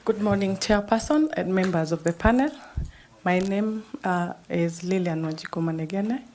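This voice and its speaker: A woman in her fifties with a Mount Kenya accent, calmly introducing herself to an interview panel in a formal, measured way. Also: medium pitch, slight background noise. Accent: Kenyan, Mount Kenya region. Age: 50s. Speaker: job candidate at a panel interview. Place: interview room. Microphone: table microphone.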